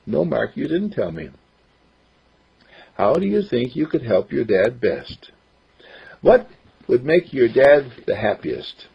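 A man's voice: mid-range voice, sing-song, slow pace, vocal fry, long pauses, husky, dull audio, exaggerated voice. Man voicing a patient, loving mother. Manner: soft, coaxing.

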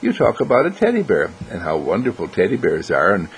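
A man voicing Mister Candle, a kindly talking candle who tells children bedtime stories in a gentle, reassuring, deliberate way. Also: low-pitched, huge pitch swings, husky, exaggerated voice, poor audio.

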